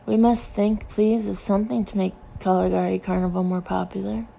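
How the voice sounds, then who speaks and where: Lady doing a dramatic storybook fortune teller woman, foreign accent, mangled grammar, gushy and wheedling, croaky voice. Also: mid-range voice, level tone, brisk, warm tone, muffled recording, slight background noise. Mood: pleading. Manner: broken English with odd word order. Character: gushing, pleading female carnival fortune-teller, theatrical foreign English.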